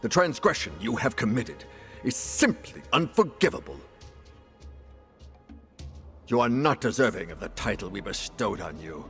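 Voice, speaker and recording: An actor playing a stern, haughty official of a hunters' order in a fantasy game, speaking formally and accusingly. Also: male voice, fairly low pitch, sing-song, unhurried, creaky, long pauses, fairly bright tone, soft background music, exaggerated voice. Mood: stern indignation. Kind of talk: dramatic fantasy game acting.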